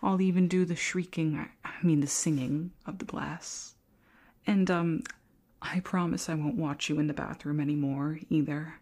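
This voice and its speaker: A woman playing a shy, hapless young female ghost in an ASMR roleplay, speaking softly and often whispering, with theatrically spooky teasing. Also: low voice, fairly even intonation, normal speed. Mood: hopeful, shy. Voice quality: soft, breathy, often whispered.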